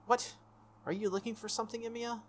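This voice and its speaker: A man's voice: very high voice, flat delivery, fairly quick, a little hiss or hum, pitched above the performer's own voice. A man voicing a smug, falsely friendly teenage schoolboy schemer in a visual novel, with a breezy, needling manner.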